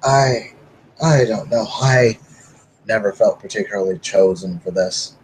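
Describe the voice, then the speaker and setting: A man's voice: unhurried, darkish tone, slight background noise, natural voice, rough recording. A man playing Emmet, a modest, unsure young werewolf, speaking hesitantly in a tabletop roleplay. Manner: modest, hesitant, low-key. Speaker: player character.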